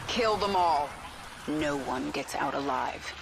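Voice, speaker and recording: A woman's voice: low voice, expressive, slow, creaky, heavy background noise, heavily acted. A woman playing a hardened, haunted warrior queen in a video game, speaking in a terse, intense, commanding manner. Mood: cold command. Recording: music behind the voice.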